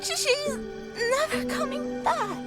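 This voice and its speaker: A woman's voice: extremely high pitch, takes it slowly, loud music behind the voice. A woman voicing a stubborn, earnest young girl in grief, as in a game drama. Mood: upset, defiant.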